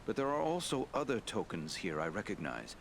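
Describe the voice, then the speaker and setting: A man playing a wise, courteous lord who counsels heroes in a fantasy game, measured, formal and grave. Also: on the low side, huge pitch swings, creaky, a little hiss or hum, heavily acted. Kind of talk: dramatic fantasy game acting.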